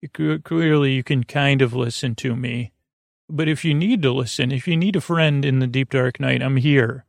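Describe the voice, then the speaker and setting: Male podcast host, gravelly and dry-sounding, wandering haltingly through sleep-aid monologue. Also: mid-range voice, no echo. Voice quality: creaky, gravelly. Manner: meandering, halting, often trailing off mid-thought. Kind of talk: rambling bedtime monologue to help listeners sleep.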